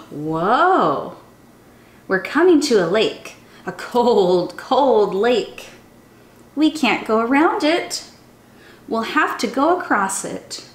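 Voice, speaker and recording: A young woman, a children's storytime teacher, reading a picture book aloud in an animated, sing-song way, speaking straight to young listeners. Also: fairly high pitch, slow. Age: young adult. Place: small room indoors. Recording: roomy indoor echo.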